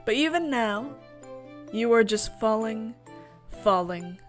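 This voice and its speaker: A woman streamer reading a visual novel aloud with theatrical, expressive narration and casual asides. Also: fairly high pitch, slow. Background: music.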